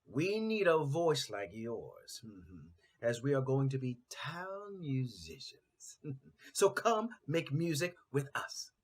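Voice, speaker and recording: Man voicing a kindly, lively storybook donkey. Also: mid-range voice, takes it slowly. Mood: reassuring. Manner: coaxing, friendly. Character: kindly, upbeat male donkey, reassuring friend.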